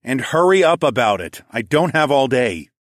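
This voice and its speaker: A man's voice: medium pitch, lively intonation, moderate pace, fairly bright tone, no room sound, studio quality, voice pushed up, lightly acted. Man acting a cold, imperious lord. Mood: bored, imperious. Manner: cold, commanding, drawling. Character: stern, imperious lord feigning icy contempt. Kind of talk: acted audiobook dialogue.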